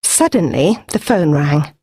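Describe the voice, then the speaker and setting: A woman reading a story for English learners, with careful, deliberate phrasing in a scripted audiobook style. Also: sits low, lively intonation, warm tone, slightly rough, no room sound. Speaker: narrator.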